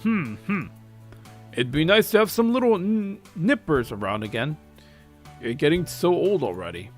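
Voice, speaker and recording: A man voicing a teasing, gruff old country grandfather in a story, with a wry manner. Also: on the high side, very animated, normal speed, faint music behind. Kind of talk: character voice in a story reading.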